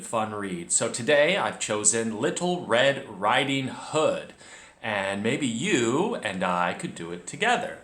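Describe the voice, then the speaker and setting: A man with a bright, animated voice guides young readers through a story. He talks to the learner directly and narrates clearly at an unhurried pace, in a room with noticeable echo. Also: mid-range voice. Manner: expressive, addresses the learner directly. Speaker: children's reading teacher, storyteller. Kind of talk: encouraging read-along lesson with calm, clear story narration. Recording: echoey room.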